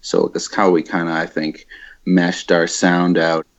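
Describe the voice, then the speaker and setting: A man speaking casually in an interview about his band, in a laid-back, unhurried way. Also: deep voice, completely flat delivery, highs cut off. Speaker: band guitarist and vocalist.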